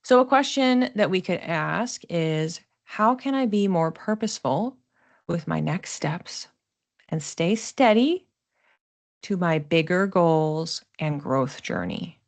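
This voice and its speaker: A woman hosting an explanatory astrology talk in a conversational, thoughtful way, with expressive emphasis on key words. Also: fairly low pitch, slow. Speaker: astrologer host.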